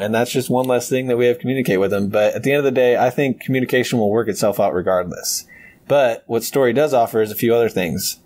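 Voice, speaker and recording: A man's voice: medium pitch, darkish tone. Laid-back man arguing his case. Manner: confident, laughs often. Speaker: podcast co-host arguing a debate pick. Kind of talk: casual debate chat.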